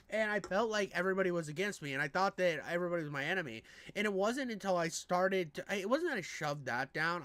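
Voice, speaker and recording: A man's voice: high voice, a bit bright, no echo. A man with a nasal voice rambling casually and crudely in reaction commentary, self-deprecating and often repeating himself. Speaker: streamer reacting to a video.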